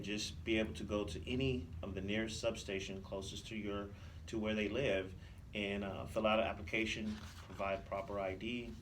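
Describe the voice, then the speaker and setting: A man answering interview questions as a police officer, explaining procedures in a hesitant, unscripted way. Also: on the low side. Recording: distant mic, background room noise.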